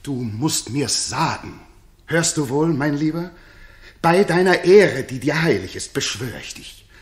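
A man's voice: on the high side, animated, unhurried. An actor playing an indignant noble king in a 1960s German radio drama, speaking solemnly and theatrically. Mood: urgent, probing. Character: shocked noble king of Bohemia, slandered friend, indignant.

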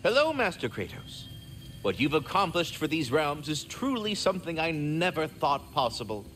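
A man's voice: a little high, sing-song, moderate pace, fairly bright tone, a little hiss or hum. A man voicing a courteous, fretful, erudite magical squirrel in a video game, speaking in formal, wordy, theatrical phrases. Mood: warm, grateful. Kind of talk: video game character acting.

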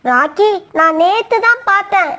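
A woman's voice: very high-pitched, lively intonation, moderate pace, bright, forceful tone, full character voice. A woman voicing an earnest, outspoken young female parrot in a cartoon story. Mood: puzzled, earnest.

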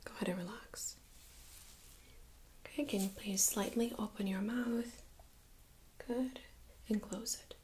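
A young woman plays a medical examiner in an ASMR role-play, almost whispering in a medium-pitched voice. Her delivery is gentle, polite and methodical. There is audible noise behind her soft words. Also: steady tone, measured pace, long pauses. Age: young adult. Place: small indoor studio room.

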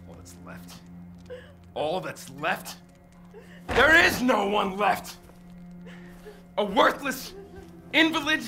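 Drunk ranting villager woman, gravelly and scornful for a horror game. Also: medium pitch, very animated, slow, long pauses, pushed and bright, faint music behind, heavily acted. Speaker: game character.

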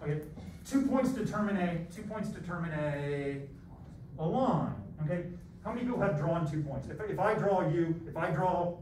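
A man's voice: sits high, normal speed. Echoey, far-off American man teaching. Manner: animated, self-deprecating, digressive, theatrical. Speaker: college math teacher. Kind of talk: classroom lecture with rambling asides. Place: classroom, masked, in front of students. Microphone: far camera at back of room. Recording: roomy echoey classroom, distant mic, background noise.

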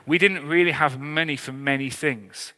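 A man preaches in a bright, forceful voice that sits a little high. His delivery is earnest but conversational, like an informal sermon. Long reverb from a big hall trails his words. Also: steady tone, normal speed. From England. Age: 30s to early 40s. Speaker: pastor, church leader.

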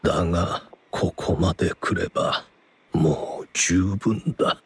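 A spent, dying man in a video game drama. His low voice is heavily rasping and breathy, and he drags out each phrase slowly with weary resignation. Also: heavily acted. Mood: weak, resigned. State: weak, spent. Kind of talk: Japanese game voice acting.

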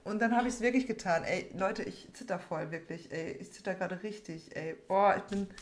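A young woman talks in a rambling, informal way as she opens card packs. She often repeats herself. The room is echoey, with clear noise behind her. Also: mid-range voice, normal speed. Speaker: trading card opening host. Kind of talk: casual chat while opening card packs. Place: small room at home, indoors. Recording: roomy echo, background noise, card crinkling.

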